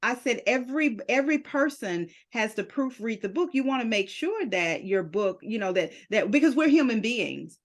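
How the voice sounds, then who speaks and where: A middle-aged woman hosting an interview as a book publisher, an animated storyteller who acts out the dialogue she quotes. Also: fairly high pitch, moderate pace, hardly any pauses. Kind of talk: podcast interview.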